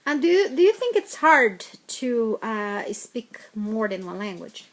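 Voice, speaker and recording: A woman interviewing a teenager, speaking haltingly and repeating words, with non-native phrasing. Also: on the high side, very animated, rough recording. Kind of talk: casual interview questions.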